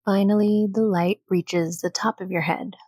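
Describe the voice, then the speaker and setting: A woman leading a guided meditation in a gentle, soothing, unhurried manner with long pauses between phrases. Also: mid-range voice, steady tone, slightly rough, dry recording.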